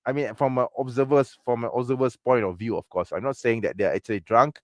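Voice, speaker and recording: A man's voice: mid-range voice, fast, no echo. A man with a Malaysian English accent hosting a casual livestream and reading out comments. He sounds mocking, chuckles often and uses a lot of fillers. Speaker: livestream host.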